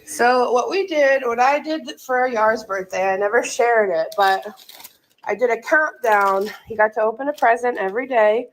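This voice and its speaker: A woman narrating a travel vlog in a bubbly, giggly, excited way. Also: on the high side, moderate pace, slight background noise, rough recording. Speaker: vlogger.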